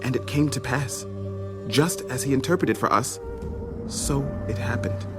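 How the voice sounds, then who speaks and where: A man's voice: medium pitch, unhurried, lightly acted. Man acting a humble, contrite royal servant over music. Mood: contrite, recounting. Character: contrite chief butler of Pharaoh, humble male servant. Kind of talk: dramatized audio Bible acting. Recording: studio audio drama with music underneath.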